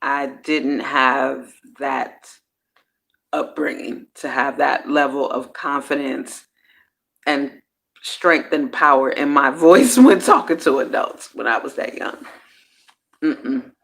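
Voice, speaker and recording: A woman's voice: extremely low pitch, takes it slowly, creaky, fairly bright tone. A woman hosting her own personal growth series, talking casually and candidly. She uses slang, swears freely, and often trails off and restarts her sentences. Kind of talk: casual reflective monologue.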